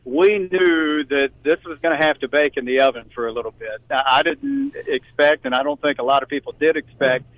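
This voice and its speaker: A man's voice: a little high, very animated, brisk, hardly any pauses, fairly bright tone, no echo. A man who works as a sports announcer, giving conversational analysis as a radio guest. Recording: dry, slightly dull remote-guest audio.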